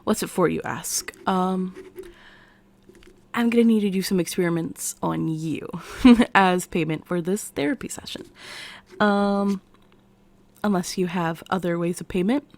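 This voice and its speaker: A woman with an American accent playing an eccentric, eager military scientist acting as therapist in an intimate, chatty ASMR roleplay that dips into soft whispers. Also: fairly low pitch, measured pace, long pauses. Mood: playful, scheming.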